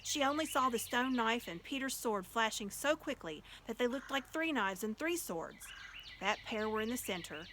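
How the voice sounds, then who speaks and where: A woman reads a children's tale aloud with expression. Her voice is fairly high and bright, with a forceful edge, over faint background noise. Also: lively intonation, normal speed. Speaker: audiobook reader. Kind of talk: expressive storybook narration.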